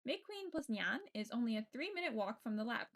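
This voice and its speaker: A woman's voice: a little high, big pitch swings, brisk, a bit bright. A woman streamer reading a visual novel's narration aloud live. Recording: music under the voice. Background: game music.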